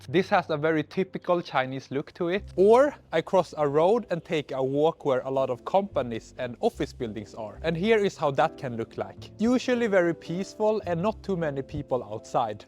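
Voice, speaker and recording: A young man from Sweden narrating a casual travel vlog in a plain, matter-of-fact way with dry humor. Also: a little high. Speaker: vlogger.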